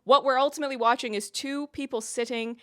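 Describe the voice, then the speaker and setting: A woman's voice: sits high, fairly even intonation, quick pace, bright, forceful tone, no room sound, studio quality. A woman giving opinionated video essay commentary as a reviewer, with emphatic delivery and wry, exasperated asides.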